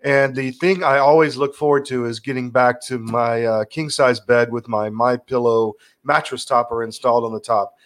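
Man, middle-aged, podcast host, medium pitch, informal conversational delivery. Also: steady tone, normal speed, few pauses, fairly bright tone. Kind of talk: conversational host talk and personal ad read.

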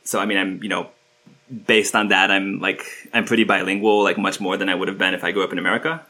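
A man from Turkey talking as a guest musician, with a lowish voice that has a bright, forceful edge. He rambles casually through the conversation, full of fillers and restarted phrases. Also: little pitch movement, fast talker. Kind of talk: casual interview chat.

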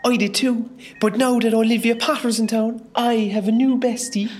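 A woman's voice: on the high side, fairly even intonation, moderate pace, darkish tone, natural voice. A woman with an Irish accent playing a catty, gushing, star-struck hospital worker in a comedy radio play. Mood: catty, gossipy.

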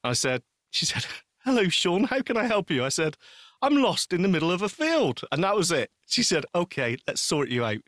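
A man telling an anecdote in casual chat, animated and emphatic, repeating phrases for effect. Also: high voice, moderate pace, no room sound, clean studio sound. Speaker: podcast co-host.

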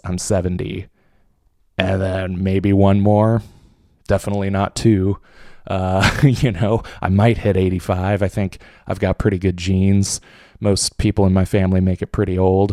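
A man's voice: low voice, mellow, no echo, studio quality. A man in his late twenties hosting a casual solo podcast, rambling with lots of fillers and restarts, jittery from coffee. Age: late 20s. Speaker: podcast host.

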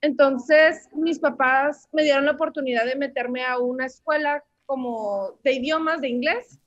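Young Mexican woman, high voice, chatty guest, dry dull lo-fi sound. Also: normal speed, dry recording. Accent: Mexican Spanish. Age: 20s. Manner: chatty, storytelling, many fillers. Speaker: language teacher, guest. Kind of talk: casual interview answers.

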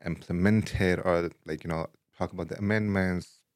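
A young man from Afghanistan, a guest in a casual interview, talking in a relaxed way with many "like, you know" fillers and easy laughs. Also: low voice, normal speed, no echo, studio quality.

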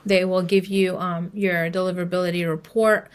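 A woman's voice: fairly low pitch, level tone, normal speed. A woman speaking as an expert guest in a podcast interview, explaining advice conversationally. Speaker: email marketing expert guest.

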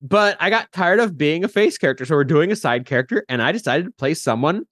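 A man with a fairly high voice tells casual stories as a podcast guest. His pitch jumps around in a very lively way, and he rambles with animation in a dry, close recording. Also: fast, no room sound. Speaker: podcast guest and tabletop player. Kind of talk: casual chat, telling gaming anecdotes.

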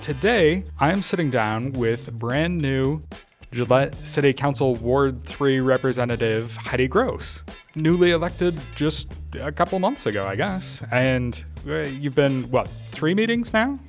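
A man's voice: mid-range voice, expressive, a little slow. Man hosting an interview, faint music behind. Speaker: podcast host, interviewer. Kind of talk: casual interview.

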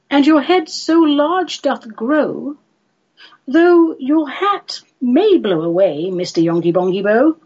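A woman voicing a sorrowful, fretful married lady in a comic poetry recital, theatrical, plaintive and drawn-out. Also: high-pitched, a little mellow, exaggerated voice. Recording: dull lo-fi recording.

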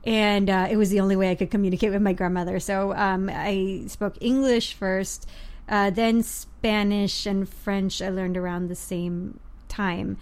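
Woman, casual conversational podcaster, filler-heavy, mid pitch. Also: flat delivery, a little slow, a little mellow. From United States (Miami). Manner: chatty, many fillers and restarts. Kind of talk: casual chat.

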